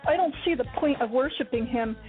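A woman's voice: sits high, fairly quick, lightly acted. A woman voicing a blunt, sarcastic young female student in an amateur radio play. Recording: tinny phone line with faint music.

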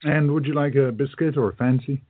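A man playing a courteous, earnest gentleman shopkeeper in a radio play, gentle and measured in manner. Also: medium pitch, fairly even intonation, no room sound, close to an everyday voice. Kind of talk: hobby radio play acting. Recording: dull lo-fi audio.

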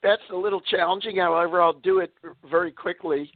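A man, a little hoarse, chatting as a guest on a radio interview, laughing often and using many fillers. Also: high-pitched, fairly even intonation, brisk, no echo. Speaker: guest author. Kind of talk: casual interview talk. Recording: tinny phone line.

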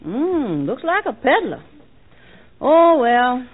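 A prim, wary lady of the house from a 1940s radio comedy. Her high voice lilts widely as she puts on airs. The old recording is narrow and tinny. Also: big pitch swings, takes it slowly, exaggerated voice. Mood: wary, dismissive. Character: prim, wary woman at home, putting on modest airs.

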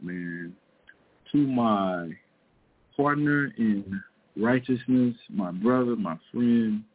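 Male radio host, mid-range voice, easygoing drawl full of fillers, heard through a thin phone line. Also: medium pitch. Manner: loose, drawn-out delivery peppered with "man" and "uh". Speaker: podcast host and Bible teacher. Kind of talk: casual online radio talk. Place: online radio call-in show. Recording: tinny phone-line call.

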